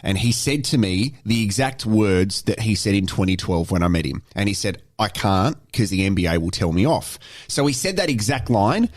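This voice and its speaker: Animated Australian man chatting away. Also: fairly low pitch, moderate pace, hardly any pauses. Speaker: podcast co-host. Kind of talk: casual banter and anecdotes.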